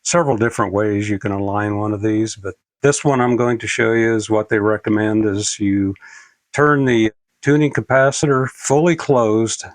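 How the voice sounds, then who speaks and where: An older man giving a casual, unscripted how-to demonstration, talking in a halting, plain-spoken, folksy way as he explains each step. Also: on the low side, fairly even intonation, dry recording. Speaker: presenter of a radio repair tutorial.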